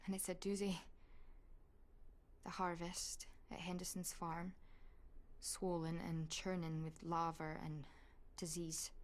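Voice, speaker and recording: An actress playing a wry, brisk witch doctor and schoolteacher in a video game, with a sardonic, colloquial manner. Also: lowish voice. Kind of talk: video game cutscene acting.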